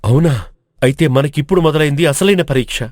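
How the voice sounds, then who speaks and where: Man acting a brave, higher-voiced village hero. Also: a little fast, darkish tone, no echo, clean studio sound, lightly acted. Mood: urgent, commanding. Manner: commanding, earnest, declamatory. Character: brave, resolute village hero leading a quest. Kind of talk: dramatic audio storybook acting.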